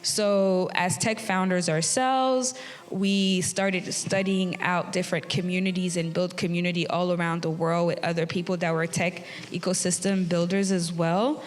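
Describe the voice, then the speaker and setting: A woman's voice: fairly low pitch, fairly even intonation, normal speed, a little hiss or hum. Woman from Haiti speaking in a hall. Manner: earnest, friendly, with fillers and laughs. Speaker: tech community founder, panelist. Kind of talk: live panel talk. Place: theatre stage. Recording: long reverb of a theatre hall.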